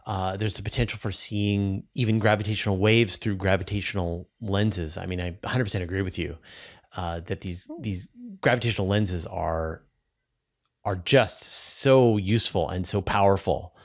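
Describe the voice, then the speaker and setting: A man hosting a science show, talking in an enthusiastic, conversational way, often halting as he thinks aloud. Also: sits low. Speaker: host of a space science show.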